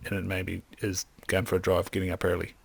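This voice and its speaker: A man's voice: deep voice, completely flat delivery, brisk, creaky, husky. A young man, talking as a podcast guest, rambling eagerly with lots of "like" and restarts. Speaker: podcast guest, hunter and optometrist. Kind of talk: casual interview chat.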